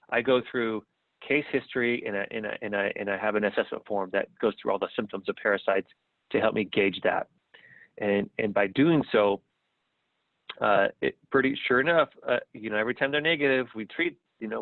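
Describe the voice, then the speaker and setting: Middle-aged guy from the us, lowish voice, sounds like a phone line, dry. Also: no room sound. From United States. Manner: relaxed, repeats words as he thinks. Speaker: guest. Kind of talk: podcast interview.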